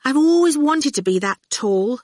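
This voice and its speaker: An ordinary, harried young woman from a storybook acted by a woman narrator. She sounds exasperated and wistful, lively in pitch, close and dry on the mic. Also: unhurried, no room sound. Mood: yearning. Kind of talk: audiobook character voice.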